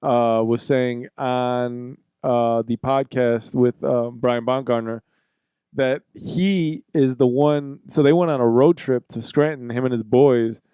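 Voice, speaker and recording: A man's voice: medium pitch, steady tone, takes it slowly, dark tone, smooth, no room sound. A man co-hosting a podcast in casual, rambling banter, playful and swearing casually. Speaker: podcast co-host.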